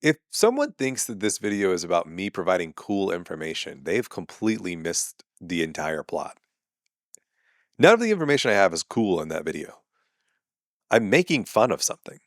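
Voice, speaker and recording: A man's voice: low voice, very animated, moderate pace, dry recording, studio quality. A man, a comedian and podcast host ranting sarcastically and with exasperation in a casual monologue.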